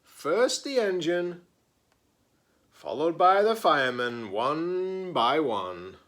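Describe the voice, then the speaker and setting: A man reading a picture book aloud to children as a teacher-librarian, with playful dramatic emphasis, pauses and chatty asides. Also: fairly high pitch, sing-song, a bit bright.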